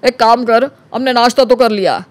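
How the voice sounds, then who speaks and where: A man playing a cunning, smooth-talking young schemer in an animated story, with a colloquial, teasing way of talking. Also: very high-pitched, moderate pace, dry recording. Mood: chummy, glib. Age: young adult. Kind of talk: Hindi animated story dialogue.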